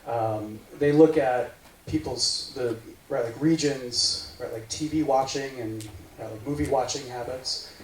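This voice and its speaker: A man's voice: mid-range voice, a little slow. A man in his forties from the United States gives a casual tech talk to a meetup crowd, wandering through his points with lots of "right, like" and "um". He sounds far from the mic in an echoey room, with a faint hiss behind him. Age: 40s. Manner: rambling, filler-heavy, says "right, like" often. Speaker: meetup speaker. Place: meeting room.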